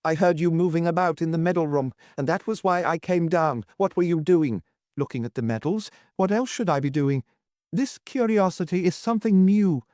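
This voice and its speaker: A man's voice: fairly high pitch, normal speed, a little mellow, no room sound, clean studio sound, voice pushed up, close to an everyday voice. A man voicing an old, suspicious nobleman collector who nags and snarls in a cold, mocking way. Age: older. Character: old nagging suspicious male lord collector. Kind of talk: audiobook reading.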